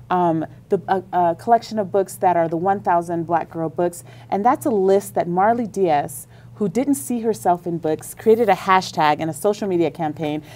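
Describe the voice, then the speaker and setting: A woman's voice: mid-range voice, a little mellow. A woman on a radio interview, explaining at length with enthusiasm about library services. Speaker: school district library leader.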